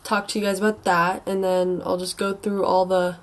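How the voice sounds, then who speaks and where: A woman's voice: fairly low pitch, flat delivery, normal speed. A young American woman with a congested, stuffy nose giving casual advice as a vlogger, in an informal, rambling and hesitant way. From United States. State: mildly sick with a stuffy nose.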